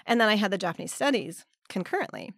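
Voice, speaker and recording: A woman in her forties, raised in Canada, telling her story as an interview guest, lively and often laughing as she acts out quotes. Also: mid-range voice, quick pace, no echo, clean studio sound. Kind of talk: casual conversational interview.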